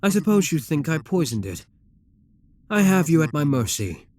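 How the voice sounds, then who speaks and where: A man's voice: a little high, big pitch swings, moderate pace, darkish tone. A man playing a proud, seductive demon king in an ASMR roleplay, speaking in an imperious, courtly and theatrical manner. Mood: indignant, haughty.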